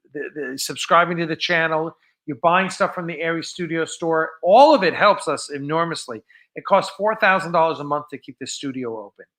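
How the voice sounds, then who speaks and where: A man's voice: on the high side, pushed and bright. A man hosting a live stream, chatting casually and off script in a rambling, enthusiastic way.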